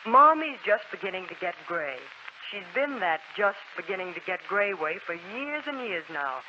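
An actress playing a spirited, outspoken teenage tomboy with a blunt, lively manner in a 1940s radio drama. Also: female voice, lowish voice, normal speed, slight background noise. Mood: wry. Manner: blunt, lively, playfully exasperated. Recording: muffled 1940s radio broadcast, music behind.

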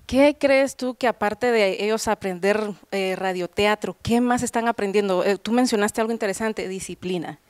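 A woman's voice: medium pitch, moderate pace, few pauses, no room sound. A middle-aged woman hosting an on-location television interview. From Guatemala. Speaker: TV host, interviewer. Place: large hall with seated group, indoors. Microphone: handheld interview microphone.

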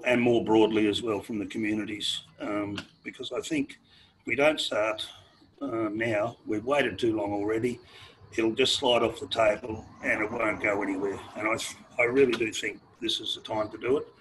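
An older man with an Australian accent, losing his voice, speaking haltingly in a webinar over a video call from a sheltered veranda. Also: fairly low pitch, a bit bright, slight background noise, poor audio. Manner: halting, many fillers and restarts. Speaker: panelist. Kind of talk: webinar talk. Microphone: laptop video call.

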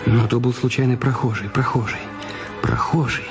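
A man's voice: medium pitch, fairly even intonation, normal speed, close to an everyday voice. A man acting an anxious, guilty husband in a radio play, speaking in a hesitant, faltering, self-questioning way. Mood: uneasy, brooding. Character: Raoul Duval, anxious, guilty husband with secrets. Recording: music bed under the voice.

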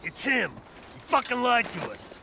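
A man's voice: very high voice, sing-song, normal speed, gravelly, background noise, heavily acted. An actor playing a fierce, foul-mouthed male soldier of a covert squad in a video game. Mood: furious. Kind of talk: video game combat acting.

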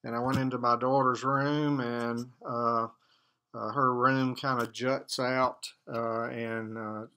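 A man in his sixties, a church elder, giving a gentle, halting devotional talk and prayer from an office, filmed by a desk webcam. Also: medium pitch, level tone. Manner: gentle, hesitant, many fillers. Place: office with wall map, indoors.

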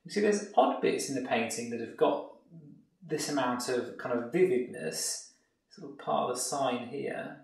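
A man's voice: mid-range voice, normal speed, gravelly, far from the mic. A man with an English accent teaching a painting lesson, explaining each step in an easygoing way as he works. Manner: relaxed explanatory, thinking aloud while painting. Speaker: art tutor. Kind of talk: painting tutorial demonstration. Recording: echoey room, mic set back, soft music.

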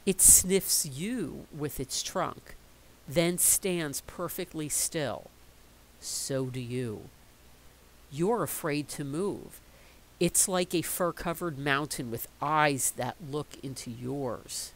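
A middle-aged woman reading a picture book aloud in a slow, expressive storytelling voice. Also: deep voice, slight background noise, rough recording.

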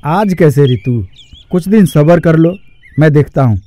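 A man's voice: a little high, lively intonation, unhurried, dark tone, a little hiss or hum. A man voicing an earnest, hardworking young employee in a cartoon story, polite but firm and plain-spoken. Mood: patient, annoyed. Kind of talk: Hindi cartoon story acting.

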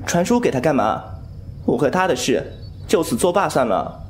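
A man's voice: on the high side, big pitch swings, moderate pace, a little hiss or hum. A man playing a young wuxia wanderer in an audio drama, teasing and sardonic, given to sighs. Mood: wry, curious. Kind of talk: Mandarin audio drama acting. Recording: background music under voice.

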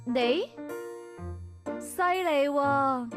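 A cocky young lady thief, acted by a woman for a visual novel, speaking high in Hong Kong Cantonese. She teases and plays the villain with theatrical flair, over soft background music. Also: very slow. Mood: teasing. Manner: playful, theatrical, mock-villainous. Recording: game voice track over music.

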